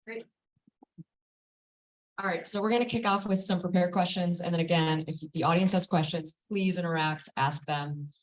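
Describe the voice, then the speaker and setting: A woman's voice: on the low side, fairly even intonation, normal speed, pauses often, poor audio. A woman hosting a live panel conversation, introducing guests in a friendly, conversational way in an event space. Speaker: panel moderator.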